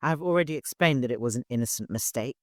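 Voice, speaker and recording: A man's voice: medium pitch, lively intonation, quick pace, no echo, studio quality, natural voice. A man voicing a shaken but defiant young woman in an audiobook, protesting with a hysterical edge. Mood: desperate protest.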